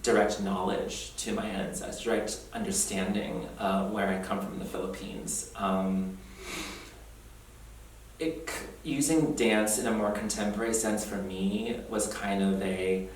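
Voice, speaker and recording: A young adult man talks through his past in a thoughtful, earnest way and often breaks into light laughter. His voice sits a little low and reaches a distant mic in a very echoey room with faint noise behind it. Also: fairly even intonation, measured pace. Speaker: oral history interviewee. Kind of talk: reflective personal oral history.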